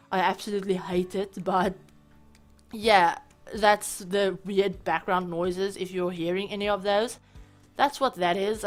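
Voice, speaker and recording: A woman hosting a gaming channel, talking casually over her gameplay with a hoarse, raspy voice, chatty and apologetic, full of fillers. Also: mid-range voice. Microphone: home voice-over mic.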